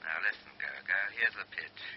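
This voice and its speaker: A very low, sneering telephone kidnapper. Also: male voice, animated, normal speed. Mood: taunting menace. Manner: taunting, slangy, insinuating. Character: menacing male kidnapper, sneering crook on the telephone. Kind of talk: radio play acting. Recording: dull, hissy radio play, phone voice.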